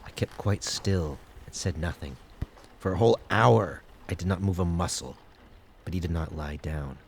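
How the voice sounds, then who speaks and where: An actor playing an obsessive madman who confesses to murder in intense, theatrical gothic narration, swinging between hushed and loud delivery. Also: sits low, normal speed, creaky, a little hiss or hum. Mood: tense, secretive. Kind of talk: dramatic first-person gothic narration, audio drama.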